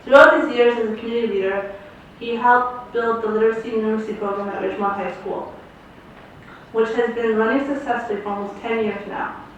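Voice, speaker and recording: A woman's voice: a little high, steady tone, a little fast, slight background noise. A woman presenting an awards ceremony, reading a formal introduction of the honoree aloud. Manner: formal reading, loud announcing. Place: large conference hall. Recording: distant, echoey, dull hall sound.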